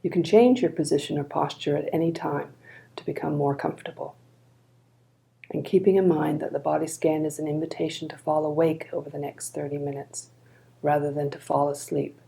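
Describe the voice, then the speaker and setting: A woman leading a guided body scan meditation in a calm, gentle, unhurried manner. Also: low voice, gravelly, rough recording.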